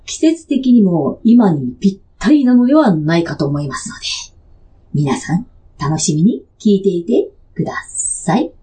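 A woman's voice: mid-range voice, very animated, normal speed, warm tone. A woman hosting a radio show in a polite, playful, chatty way from prepared material. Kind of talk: scripted, chatty host monologue.

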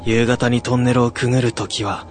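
A man's voice: medium pitch, little pitch movement, a little fast, soft background music, lightly acted. A man playing a somber, haunted narrator in a radio drama. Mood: haunted, subdued. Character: somber male narrator haunted by a camping memory.

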